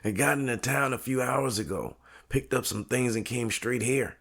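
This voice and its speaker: A man plays an eager, streetwise cousin in a crime audio drama. The voice has a husky grain. He talks in a casual, slangy way, like family. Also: mid-range voice, moderate pace, a bit bright.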